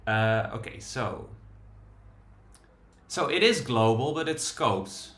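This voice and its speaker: A man's voice: mid-range voice, lively intonation, a bit bright. Dutch-accented man thinking aloud, roomy sound. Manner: thinking aloud, halting, self-deprecating. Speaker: developer testing a tool on screen. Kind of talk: casual live screen-share chat.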